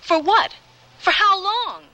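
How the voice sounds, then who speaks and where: An actress playing a nervous young office worker, anxious and hesitant, in a radio drama. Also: female voice, extremely high pitch, lively intonation, slow pace, sharp tone, heavily acted. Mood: frightened.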